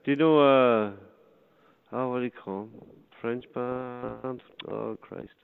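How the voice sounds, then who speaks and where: A man with a Derry accent speaks over a thin phone connection. His voice is clear and smooth, slightly low in range of interest to no one but easy and conversational. He banters along casually as he handles a booking call. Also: fairly even intonation, slow. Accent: Northern Irish, Derry. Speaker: venue staff answering a phone booking. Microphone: telephone. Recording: muffled narrow-band phone line.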